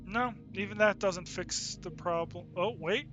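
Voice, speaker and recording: A man's voice: high-pitched, unhurried, a bit bright, soft background music. A man giving casual, unscripted game commentary as a streamer, rambling and thinking aloud as he goes.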